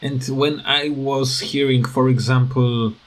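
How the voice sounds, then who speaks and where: Slow, halting man in a casual rant. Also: medium pitch, fairly even intonation. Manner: halting, hesitant, repeats words. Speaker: video creator giving commentary.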